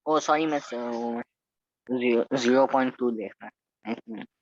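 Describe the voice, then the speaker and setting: A male student gives answers in a lesson. His pitch is average, and the sound is dry and a bit muffled. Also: moderate pace, dry recording. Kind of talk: answering a teacher in class.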